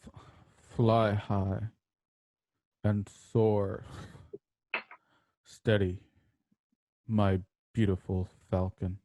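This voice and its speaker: An actor as a grave, stoic, protective brother in a Western radio drama. He speaks extremely slowly and haltingly, in a dark voice recorded very dry. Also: lowish voice, flat delivery, no room sound, muffled recording. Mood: solemn farewell. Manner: halting, weighty delivery. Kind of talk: online radio play acting.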